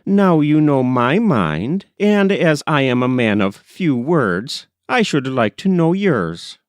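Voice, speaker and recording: A man's voice: mid-range voice, very animated, slow pace, no echo, clean studio sound, voice pushed up. A man voicing a hearty, forthright, middle-aged working-class man in an audiobook story. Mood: frank, decided.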